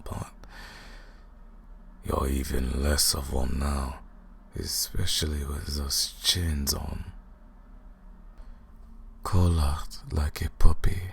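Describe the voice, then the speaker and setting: A man's voice: rumbling low, creaky, slight background noise, exaggerated voice. A man playing an ancient vampire lord, cold, mocking and condescending, in a theatrical, menacing roleplay monologue. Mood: mocking menace. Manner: slow, menacing, taunting, theatrical. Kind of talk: scripted ASMR roleplay monologue.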